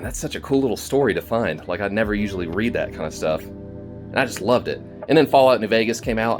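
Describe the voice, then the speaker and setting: Deep-voiced man chatting casually. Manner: informal, halting, thinking aloud. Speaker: storytelling channel creator and host. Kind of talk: casual, rambling thank-you vlog to listeners. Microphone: phone video recording.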